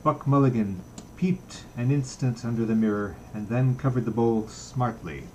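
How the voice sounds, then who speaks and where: A man's voice: medium pitch, moderate pace, a little mellow, a little hiss or hum, low-quality recording. A man narrating literary fiction, reading a novel aloud as an audiobook reader.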